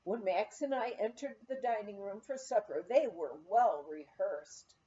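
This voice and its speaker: A woman reading an anecdotal memoir aloud in a measured, expressive storytelling manner. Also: mid-range voice, dull audio, a little hiss or hum. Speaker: audiobook reader of a memoir.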